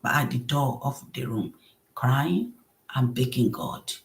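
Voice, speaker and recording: A woman with a soft voice, earnestly telling an emotional personal story as a podcast host. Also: very deep voice, takes it slowly, vocal fry. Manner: earnest, emotional, acts out quoted speech.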